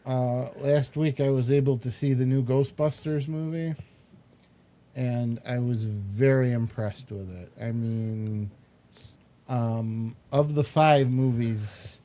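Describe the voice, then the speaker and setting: A man with a very mellow, dark voice hosts a casual chat show. He talks slowly at a middling pitch, rambling and hesitating as he goes. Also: fairly even intonation. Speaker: talk show host. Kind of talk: casual chat, reviewing entertainment.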